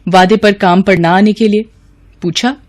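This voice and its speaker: A woman's voice: mid-range voice, steady tone, a little slow. A woman reading scripted literary story narration as the narrator.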